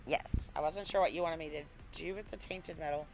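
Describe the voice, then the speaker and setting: A woman streamer with a hoarse voice, chatting casually with viewers and a co-player while gaming. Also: deep voice, brisk, a little mellow, soft background music, poor audio. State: hoarse, recovering lost voice.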